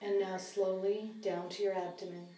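A woman leading a guided body scan meditation as a mindfulness teacher, speaking gently and leaving long, unhurried pauses. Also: mid-range voice, level tone. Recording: distant, roomy sound with soft music.

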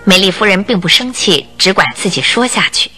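A woman's voice: medium pitch, brisk, pushed and bright, breathy edge, faint music behind. A woman narrating a radio drama in a storytelling style.

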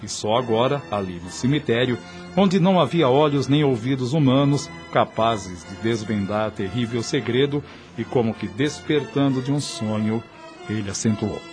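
A man's voice: mid-range voice, lively intonation, moderate pace, darkish tone. A man narrating a radio drama in a scripted, literary storytelling style. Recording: music under the voice.

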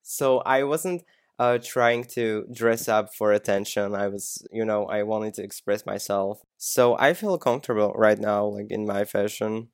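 Hesitant young man, lowish voice. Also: measured pace, clean tone, no room sound, clean studio sound. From Slovakia. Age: young adult. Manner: hesitant, lots of "um" and "you know", laughs easily. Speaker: guest. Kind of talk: casual interview.

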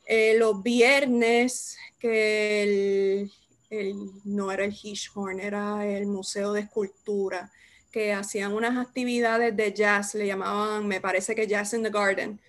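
A young adult woman hosting a casual chat, lively and quick to laugh. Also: mid-range voice. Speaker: host. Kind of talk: casual conversation.

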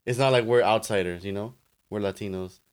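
Low-voiced young man bantering. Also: brisk. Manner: relaxed, laughing, opinionated banter. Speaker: podcast co-host. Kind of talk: casual chat.

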